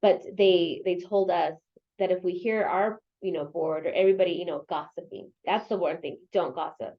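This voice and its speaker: A woman's voice: lowish voice, normal speed. Earnest woman on a muffled call. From El Paso, Texas. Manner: earnest, repeats herself, many "you know" fillers. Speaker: real estate agent and local association president. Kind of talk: interview guest, casual conversation. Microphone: video or phone call.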